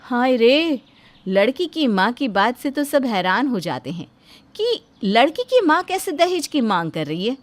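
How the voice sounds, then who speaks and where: A woman's voice: on the high side, sing-song, a little slow, dry recording. A woman narrator telling a scripted domestic moral tale in a dramatic storytelling style.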